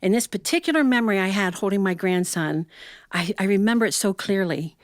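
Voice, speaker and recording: A middle-aged or older American woman telling a personal family story in a reflective, folksy way, with expressive phrasing and conversational asides. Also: mid-range voice, a little fast, dry recording, clean studio sound.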